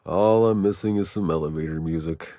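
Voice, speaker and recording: An actor playing a sardonic, gruff mercenary survivor in a video game, speaking in a wry, terse manner. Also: male voice, low-pitched, fairly quick, mellow, no room sound, studio quality.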